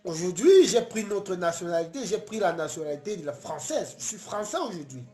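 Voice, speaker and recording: A man from Cameroon, hosting a casual late-night live stream, talking earnestly and passionately with many halting restarts. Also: high voice, very animated, dark tone, roomy sound, soft background music.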